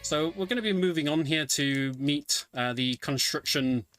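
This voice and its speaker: A man's voice: on the high side, normal speed, a bit bright, clear, resonant tone, no room sound. A man giving live, explanatory commentary on a video game as he plays it, somewhat hesitant as he goes. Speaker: speedrunner commentating own play. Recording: game music behind voice. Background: video game music.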